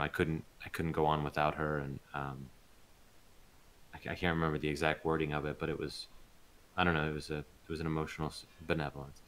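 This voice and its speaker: A man being interviewed as a voice actor, chatting casually and hesitantly, thinking aloud with pauses. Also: very deep voice, fairly bright tone, a little hiss or hum.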